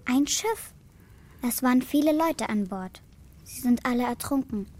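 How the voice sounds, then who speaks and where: A woman's voice: high voice, lively intonation, measured pace, darkish tone. A female performer playing an earnest, matter-of-fact schoolgirl granddaughter in a children's radio play. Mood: earnest, patient. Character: earnest young schoolgirl, bright and eager granddaughter.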